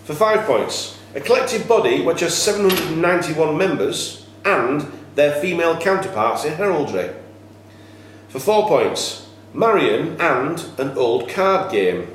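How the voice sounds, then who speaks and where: A man working as quizmaster, reading questions to contestants in a measured way with informal asides. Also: a little high, sing-song. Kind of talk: reading pub-style quiz questions to contestants. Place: echoey room at a cricket club. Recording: very echoey room, faint noise.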